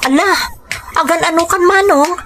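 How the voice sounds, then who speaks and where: A woman's voice: very high-pitched, moderate pace, noisy background. A woman playing a caring, attentive younger in-law in an Ilocano radio drama, speaking in a coaxing, solicitous way. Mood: worried.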